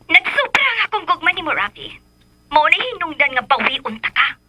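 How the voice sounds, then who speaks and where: Shrill, piercing scheming spurned lover. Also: female voice, very animated, moderate pace, pushed and bright, full character voice. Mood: taunting, threatening. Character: scheming, possessive spurned female lover, Debra. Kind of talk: radio drama acting.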